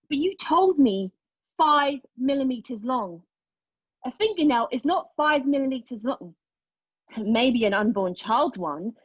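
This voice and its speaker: A woman acting a wounded, defiant character in a monologue, candid and bitterly sardonic, with halting phrasing. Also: sits high, breathy edge, no room sound, muffled recording, exaggerated voice. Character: wounded, defiant woman confessing a painful past. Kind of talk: dramatic monologue at a table read.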